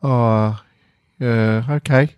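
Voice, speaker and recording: Guy reading as a shy aussie kid, voice nudged up, halting and unsure, story podcast, dry sound. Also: medium pitch, fairly even intonation, darkish tone, clear, resonant tone, no room sound, pitched above the performer's own voice. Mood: hesitant, let down. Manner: tentative, halting. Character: shy, hesitant child wanting a hug.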